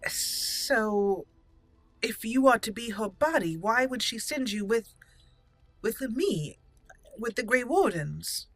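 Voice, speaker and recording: A woman hosting gameplay and improvising in character as an elf mage, speaking in thoughtful, halting, slightly formal phrases. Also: a little high, lively intonation, a bit bright, poor audio. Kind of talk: improvised in-character roleplay commentary.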